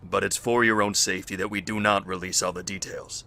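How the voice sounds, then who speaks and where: A man playing a calm, guarded health official in a zombie outbreak audio drama, speaking in a measured, formal way. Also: low voice, creaky, heavily acted. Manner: measured, formal, matter-of-fact.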